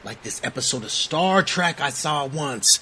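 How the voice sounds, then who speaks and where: An American actor playing a jittery, newly dead young male graffiti artist in an audio drama, with halting street slang. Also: a little high, expressive, noisy background. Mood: shaken, confused. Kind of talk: naturalistic audio drama dialogue.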